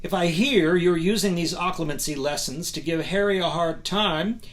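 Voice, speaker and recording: A man voicing a hot-tempered, protective wizard godfather in a storybook, speaking loudly and bluntly. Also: fairly high pitch, moderate pace, pitched above the performer's own voice, lightly acted. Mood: defiant. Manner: loud, blunt, confrontational.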